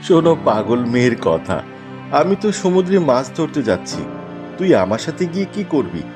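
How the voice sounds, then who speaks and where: Cartoon fisherman played by a man: mid-pitched, lively swings, teasing and cautionary, loud music underneath. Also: brisk, close to an everyday voice. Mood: dismissive, cautioning. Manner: dismissive, warning. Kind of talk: Bengali fairy-tale cartoon dubbing.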